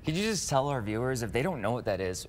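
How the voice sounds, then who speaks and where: A man in his thirties, a news anchor, asking questions in a TV studio interview with friendly quick interjections. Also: mid-range voice, very animated, slight background noise. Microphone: lapel.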